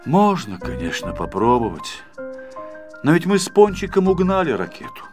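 A man's voice: fairly high pitch, huge pitch swings, moderate pace, music in the background, voice pushed up. A man voicing a naive, conscience-stricken little storybook hero, hesitant and earnest, in an audiobook. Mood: guilty, earnest. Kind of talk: audiobook character acting.

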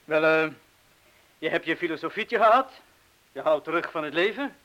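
An actor playing a steady, protective bomb disposal man who gives terse instructions in a radio drama. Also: fairly high pitch, moderate pace, highs cut off. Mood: tense, firm. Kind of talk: radio play acting. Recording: hissy old radio play recording.

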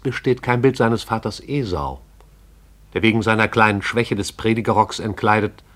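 Man narrating a radio play, lowish voice, measured and poetic. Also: a bit bright.

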